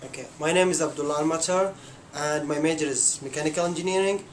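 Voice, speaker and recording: A young student speaks candidly and informally. His voice sits fairly high, with a faint noise in the background. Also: quick pace. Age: young adult. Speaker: international student. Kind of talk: interview answer.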